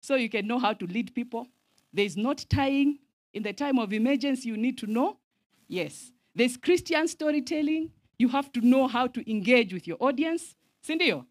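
A woman from Zambia in her thirties teaches a camp meeting from a large outdoor tent, her slightly high voice rising and falling with wide swings. She slips Swahili phrases into her English and keeps asking the crowd to answer back. The handheld mic sounds close and dry. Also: normal speed, no room sound. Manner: animated, mixes in Swahili phrases, asks audience for responses. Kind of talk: lively sermon-style teaching. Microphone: handheld microphone.

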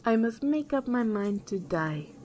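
A woman's voice: a little high, animated, measured pace, a little mellow, a little hiss or hum, voice pushed up. A woman voicing a frightened, despairing queen in a fairy tale, lamenting and pleading. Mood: mournful. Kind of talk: theatrical storybook voice.

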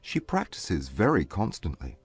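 A man playing a proud, reserved young gentleman with formal, measured speech in an audiobook drama. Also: low-pitched, wildly swooping pitch, slightly rough, heavily acted. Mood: reserved, polite.

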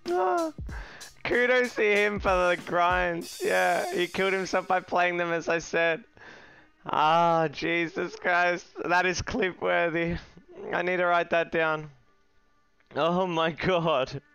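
A young man streaming a card game from a small room, swearing freely and reacting loudly to each play. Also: sits high, slow, creaky, clean tone, faint music behind. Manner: profane, excitable, shouting when upset. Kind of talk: live game stream commentary.

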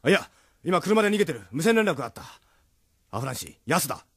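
An actor playing a brisk, pragmatic, reassuring resistance comrade in an anime audio drama. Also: male voice, fairly high pitch, very animated, fairly bright tone, slightly rough, no room sound, clean studio sound, heavily acted. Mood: urgent. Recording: voice under music.

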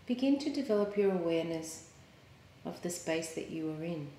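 A woman yoga teacher leading a guided meditation in a calm, soothing, measured way with pauses. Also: fairly low pitch, slight background noise. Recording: roomy, echoing sound.

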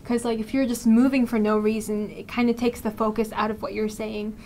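A woman's voice: a little high, little pitch movement, a little fast, darkish tone. A young woman student actor answering casually in an interview. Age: teens to young adult, high school student. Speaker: student cast member being interviewed. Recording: faint background room noise.

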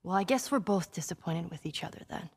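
A woman's voice: lowish voice, animated, quick pace, darkish tone, slight background noise, natural voice. An actress playing a tough, wounded teenage girl survivor in a video game, American, blunt and emotional. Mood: defiant. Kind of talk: naturalistic video game drama acting.

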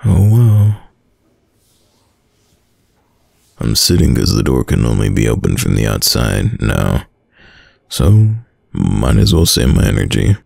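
A shy, caring young boyfriend voiced by a man in an intimate audio roleplay. He speaks slowly and haltingly in an extremely low voice that swings expressively, stammering like a smitten student. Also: creaky, darkish tone, no echo, heavily acted. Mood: bashful, defensive. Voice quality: deep. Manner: hesitant, stammering, nervous chuckles.

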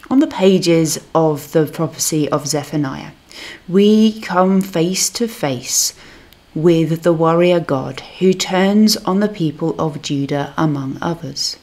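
A woman minister reading a scripted devotional reflection in a measured, solemn manner. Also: low voice, a little mellow.

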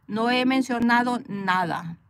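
A woman's voice: a little high, a little slow, vocal fry, a little hiss or hum. A woman from El Salvador with a Salvadoran accent, chatting playfully and laughing with live viewers from inside a parked car, recorded on a phone. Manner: chatty, playful, laughs often, talks back to commenters. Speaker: livestream host reading viewer comments. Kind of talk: casual chat with live viewers.

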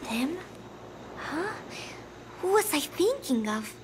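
Frail, haunted young woman for game drama: high, gentle, breathy, confused, slow and hesitant delivery. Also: very animated, a little hiss or hum, exaggerated voice. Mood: dazed. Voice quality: breathy, often dropping to a whisper. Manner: halting, hesitant, fragmented phrases. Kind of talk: dramatic video game cutscene acting.

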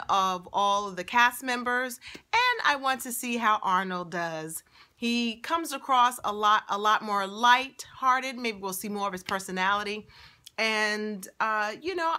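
Slow, bright, raspy woman vlogging. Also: mid-range voice. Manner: chatty and upbeat, drawn-out phrasing. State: hoarse from a night of laughing. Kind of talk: casual vlog to camera. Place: parked car, then an office. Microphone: phone camera at arm's length.

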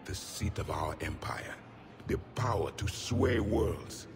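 An actor playing a proud ancient alien soldier in a video game, grave, measured and scornful. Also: male voice, sits low, lively intonation, in a large hall, music in the background, full character voice. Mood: wistful. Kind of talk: video game cutscene dialogue.

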